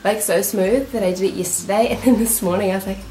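A woman's voice: mid-range voice, steady tone, quick pace, warm tone, slight background noise. Nasal New Zealand woman, roomy echo. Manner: chatty and enthusiastic, shows products to camera. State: nasal from a cold. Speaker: beauty vlogger. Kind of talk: casual product review talk. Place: small room indoors, seated.

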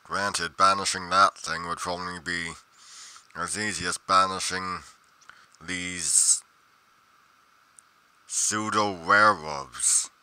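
Game roleplay casting: pompous, musing male investigator acted by a man, low-pitched, dry close sound, emphatic journal monologue. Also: steady tone, slow, long pauses, sharp tone, clear, resonant tone, dry recording, exaggerated voice. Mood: musing, pedantic. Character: pedantic, musing male paranormal investigator narrating his journal.

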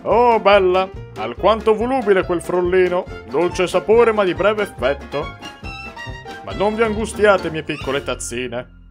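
A grandiose ghost chef from a cartoon , acted by a man. He speaks in a fairly high male voice with florid pomp, a schemer who relishes every word. Music plays underneath. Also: lively intonation, measured pace. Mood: jovial, grand. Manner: florid, pompous wording. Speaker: villain in a fan dub. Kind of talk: theatrical cartoon dubbing.

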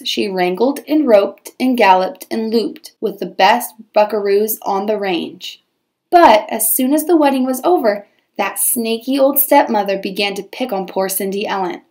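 A woman teacher reading a children's storybook aloud with expressive, dramatized storytelling. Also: mid-range voice.

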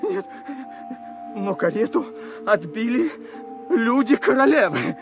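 A very high-voiced cowardly assassin begs in terror, slow and pleading. It is radio drama with loud music behind. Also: male voice, very animated, full character voice. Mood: fearful pleading. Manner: pleading, gasping cries. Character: terrified cowardly hired killer begging for mercy. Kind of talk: radio play acting.

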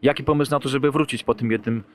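Fast-talking male club reporter. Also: medium pitch, steady tone. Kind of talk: post-match interview questions.

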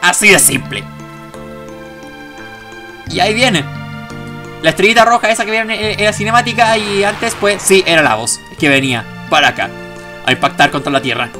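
A man's voice: sits high, lively intonation, moderate pace, long pauses, music in the background. A man hosting a gaming video with casual let's play commentary, full of chatty, jokey asides.

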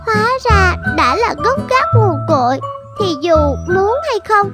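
Very high-voiced little cat. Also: female voice, very animated, measured pace, full character voice. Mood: reflective, pleased. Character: little pampered young cat. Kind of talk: animated fairy-tale dubbing. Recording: music prominent behind the voice.

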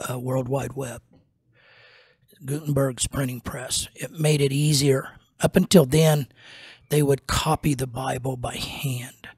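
Older guy pastor talking slow into a boom mic, kinda husky, lots of uh and restarts. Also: a little mellow, no room sound. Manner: slow, rambling, many fillers and restarts. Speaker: pastor and podcast host. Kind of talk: unscripted teaching talk. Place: small wood-paneled room indoors. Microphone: studio mic on a boom arm.

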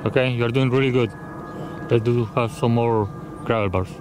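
A man's voice: mid-range voice, steady tone, moderate pace. Encouraging man mentoring a pilot. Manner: encouraging, brief and practical. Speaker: experienced backcountry pilot mentor. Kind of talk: casual chat. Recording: outdoor noise behind.

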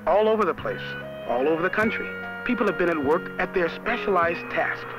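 A man's voice: high voice, expressive, fairly quick, dull audio, over music. A young American man playing a cheerful college student who narrates a 1970s educational film in a folksy, chatty way. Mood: explanatory. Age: young adult. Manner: folksy, chatty, addressing the viewer. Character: cheerful young college student guiding viewers round his street. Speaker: on-camera narrator.

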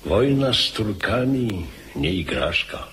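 A grave counsellor to a king in a radio drama, oratorical and emphatic. His deep voice is forceful and swings widely, with faint noise behind. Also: sing-song, slow, heavily acted. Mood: grave, urgent. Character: grave male royal counsellor urging war.

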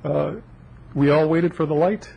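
Young man, husky voice, higher pitch, insistent and fact-driven, podium mic, council chamber. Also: flat delivery, a little slow, mellow, poor audio. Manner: earnest, insistent, lists facts. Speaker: public commenter. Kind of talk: public comment at council meeting. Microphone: podium microphone.

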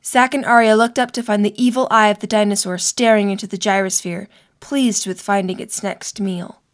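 A woman's voice: medium pitch, steady tone, moderate pace. A woman narrating a scripted story for an audio drama.

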